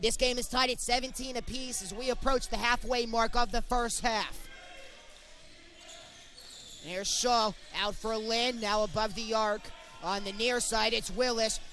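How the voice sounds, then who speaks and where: A man's voice: very high-pitched, steady tone, a little slow, bright, forceful tone, faint music behind. A young man calling live college basketball play-by-play in short, clipped present-tense phrases. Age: young adult. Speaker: play-by-play sportscaster.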